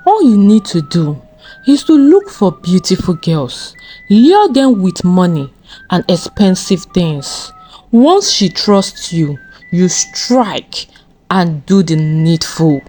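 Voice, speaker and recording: Smug rich male criminal read by a woman. Confident, coaxing, measured pace, mellow tone, folktale villain. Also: on the low side, very animated, full character voice. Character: smug wealthy male criminal, smooth recruiter.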